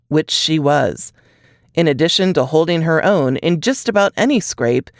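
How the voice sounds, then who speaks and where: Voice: medium pitch, dry recording, clean studio sound. A narrator reading a suspense novel aloud in scripted audiobook style.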